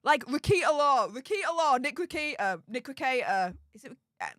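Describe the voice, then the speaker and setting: A chatty woman with a Yorkshire accent hosts a livestream and reads out her viewers' comments. Her voice sits a touch high and animated, and it sounds dry and close, with no room behind it. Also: normal speed, no echo. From Yorkshire, England. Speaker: YouTube host reading live chat. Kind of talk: casual livestream chat.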